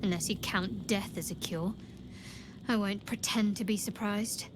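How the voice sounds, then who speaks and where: An actress playing a cool, guarded fantasy adventurer with a dry, resigned delivery. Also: female voice, mid-range voice, steady tone, unhurried, noisy background, natural voice. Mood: dry resignation.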